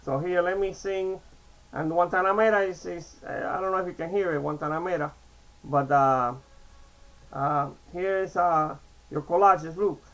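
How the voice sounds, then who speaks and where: High-voiced chatty man in his sixties. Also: normal speed, a bit bright, low-quality recording. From Cuba. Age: 60s. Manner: chatty, digressive, repeats "okay?" and restarts often. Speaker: vlogger. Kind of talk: casual rambling monologue to camera.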